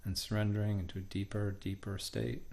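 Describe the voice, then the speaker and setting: A man leads a guided meditation in a gentle, unhurried way. His voice sits low with a lot of vocal fry and stays very flat in melody. He breaks his sentences into short phrases with space between them.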